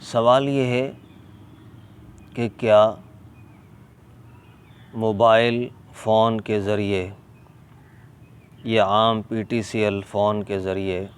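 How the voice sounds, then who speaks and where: A man with a Pakistani accent explaining a religious ruling as an Islamic scholar, deliberate and emphatic, repeating key points. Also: flat delivery. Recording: clear background noise, ambient vehicle rumble.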